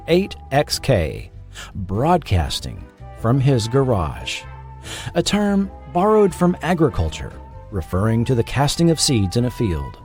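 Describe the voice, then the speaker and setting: Expressive American man narrating a documentary. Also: medium pitch. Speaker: podcast host.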